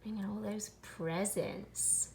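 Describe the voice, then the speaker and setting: A woman's voice: medium pitch, steady tone, takes it slowly, warm tone, a little hiss or hum. A woman co-hosting a children's storytime, commenting on picture book pages and exclaiming to young listeners.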